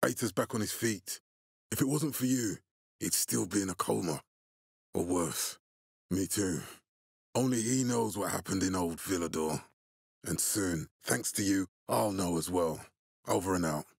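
A man voicing a grave, calculating militia commander in a video game, with a husky, authoritative and deliberate delivery. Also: low voice, dry recording, studio quality, heavily acted. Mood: grave, measured. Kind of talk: video game character dialogue.

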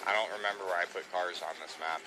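A man's voice: deep voice, steady tone, quick pace, background noise. A man streaming a video game, chatting casually in character and giving repeated, bossy squad-leader commands. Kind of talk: casual in-character gameplay chat.

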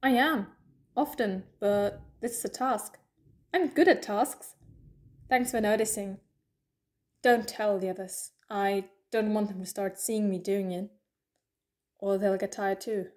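A woman's voice: mid-range voice, steady tone, a little slow, long pauses, a little mellow, lightly acted. A woman playing a quirky, talkative, self-doubting alien time traveller in an audio drama, rambling eccentrically. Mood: earnest. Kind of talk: fan audio drama acting.

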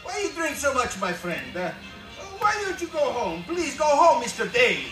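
An actor playing an excitable, kindly immigrant barkeeper in a live radio play, fussing and repeating himself. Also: male voice, very high-pitched, moderate pace, soft background music, heavily acted. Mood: agitated concern. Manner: exclaiming, fussing, repetitive. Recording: echoey hall from far off.

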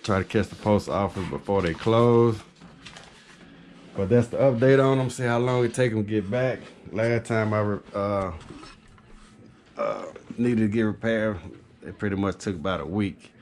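A man's voice: on the low side, moderate pace, a little mellow. A man talks informally into a handheld camera in a small room. He rambles through a product update in a matter-of-fact way. There is a light layer of background noise on the recording. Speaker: HVAC technician and video host. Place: small carpeted home office, indoors.

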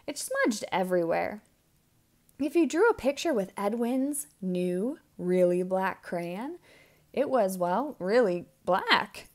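A woman reads a picture book aloud for children. Her delivery is slow and deliberate, with lively swings in pitch. She leans on important words theatrically and draws them out. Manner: theatrical, with drawn-out emphasized words and gasps. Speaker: children's storybook reader.

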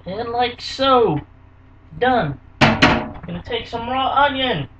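A man, hosting a casual home cooking video in a small kitchen with energetic, hammy showman patter. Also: extremely high pitch, slow, far from the mic, noisy background. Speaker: cooking show host. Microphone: camera mic close by. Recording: dull lo-fi webcam audio from a bit off-mic.

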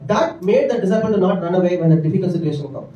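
A man's voice: high voice, animated, rapid-fire, warm tone, dull audio, slight background noise. A middle-aged man with an Indian English accent, preaching from a church stage into a handheld mic, earnest and peppered with asides. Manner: earnest preaching with frequent "right?" and "amen" asides. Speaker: pastor. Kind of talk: sermon. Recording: echoey church room miked from a distance.

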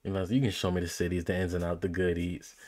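A man's voice: sits low, flat delivery, fast talker, darkish tone. A young man giving casual, slangy reaction commentary as a streamer, often repeating himself for emphasis, on a close microphone indoors. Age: young adult. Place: small indoor room.

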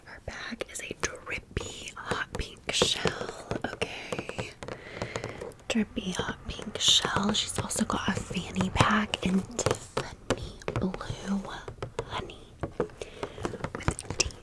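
Female ASMR creator, fully whispered and slow, breathy, casual, slangy and gushing, with deliberately repeated phrases. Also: medium pitch, level tone, poor audio. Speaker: ASMR creator presenting a doll haul.

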